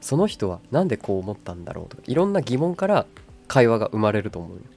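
Young woman, extremely low boyish voice, animated intonation, calm wry radio co-host, casual chat. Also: fast, creaky, husky, soft background music.

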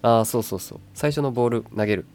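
A young adult woman chatting casually as a radio co-host in a calm, boyish, wry manner. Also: rumbling low, rapid-fire, faint music behind. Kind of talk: casual chat.

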